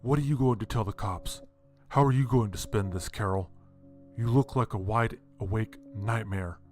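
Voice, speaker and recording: A man's voice: fairly low pitch, normal speed, a little mellow, soft background music, natural voice. A man playing an aggrieved, sardonic father in an audio story, speaking in a pointed, accusing way. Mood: challenging, sardonic.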